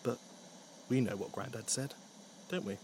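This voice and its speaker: A man's voice: mid-range voice, moderate pace, darkish tone, slight background noise. A man narrating a scripted story with wry, dry humour.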